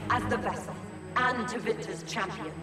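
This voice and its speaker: A woman playing a proud, devoted mage commander in a fantasy video game, formal, measured and intense. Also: a little high, close to an everyday voice. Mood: deferential, determined. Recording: echoey hall, distant, loud music. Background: loud music.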